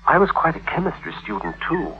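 An actor playing a nervous, pedantic young tutor and lover in 1950s radio drama, hesitant and melodramatic. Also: male voice, a little high, big pitch swings, fairly quick, vocal fry. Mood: pedantic, insinuating. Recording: muffled vintage 1950s radio recording.

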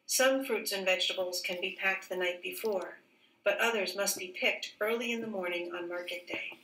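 A woman with a bright, forward voice reads a children's book aloud like a teacher, phrasing each sentence carefully and expressively. The recording is roomy and a little distant from the microphone. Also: mid-range voice.

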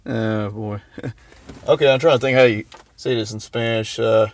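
A man's voice: lowish voice, fairly even intonation, moderate pace. A man giving a casual, off-the-cuff show-and-tell, hesitant and thinking aloud, recorded on a handheld camera in and around a parked car. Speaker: vlogger. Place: in a parked car and just outside it.

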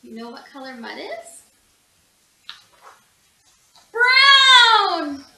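A young woman reads a children's storybook aloud in an expressive, exaggerated, singsong way, recorded in a room at home. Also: extremely high pitch, takes it slowly, distant mic, background noise. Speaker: storybook reader. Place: small living room at home. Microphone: camera a few feet away. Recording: echoey room.

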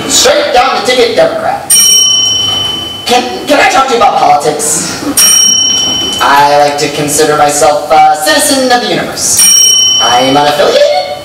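A young man acting an eager, awkward suitor in a live stage comedy, halting and earnest. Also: high-pitched, wildly swooping pitch. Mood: earnest, defensive. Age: young adult. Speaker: stage actor in a one-act comedy. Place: indoor theatre stage. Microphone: distant camera. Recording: very echoey, noisy theatre stage from far off.

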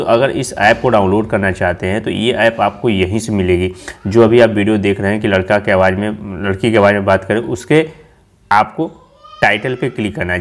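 A man narrating a casual app tutorial as host, explaining step by step and repeating himself. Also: lowish voice.

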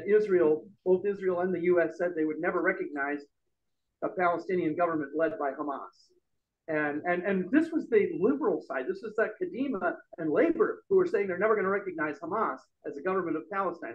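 Middle-aged guy with a loud high voice ranting at an open mic in a bar, kinda dull lo-fi sound. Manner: loud, emphatic, gesturing. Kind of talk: public forum speech. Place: bar room with a small audience. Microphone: stand mic.

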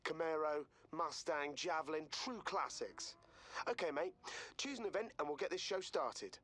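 A man's voice: a little high, lively intonation, moderate pace. An actor playing a friendly male racing-festival announcer, heard in game audio. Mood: upbeat. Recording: game audio with loud background noise.

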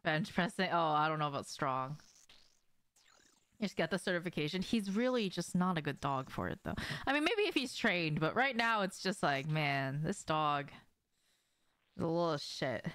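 Young woman, game streamer, casual chat, animated intonation, informal, sweary, dry close mic, home room. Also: sits low, moderate pace, clear, resonant tone, dry recording. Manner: informal, swearing, addressing viewers and reacting to gameplay. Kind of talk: casual livestream chat while gaming. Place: small indoor room at home.

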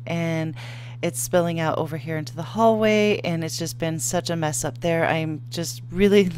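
Low-pitched woman, casual voice-over. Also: slight background noise. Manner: relaxed, chatty, matter-of-fact. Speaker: home cleaning vlogger.

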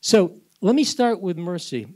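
A man in his sixties moderating a panel discussion, holding a mic and asking long, halting questions with restarts. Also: sits high, big pitch swings, a little mellow. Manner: rambling, hesitant questions with restarts. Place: conference room with audience. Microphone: handheld mic.

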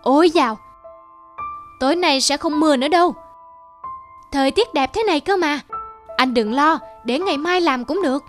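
A woman voicing a lazy, whiny monkey in a children's fable cartoon, expressive and exclamatory. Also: sits high, normal speed, pauses often, close to an everyday voice. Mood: carefree. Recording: loud music and rain behind. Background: music and rain.

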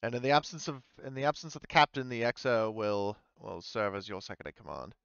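A man playing a composed corporate woman executive in a tabletop game, formal and measured, outwardly pleasant but privately irritated. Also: medium pitch, creaky, fairly bright tone, smooth, no room sound, lo-fi sound.

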